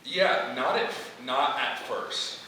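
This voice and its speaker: Halting young man in a very echoey hall. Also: mid-range voice, sharp tone. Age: young adult. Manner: halting, searching for words. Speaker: playwright leading a post-reading feedback discussion. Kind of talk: discussion, answering audience feedback. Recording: echoey hall, distant mic, faint noise.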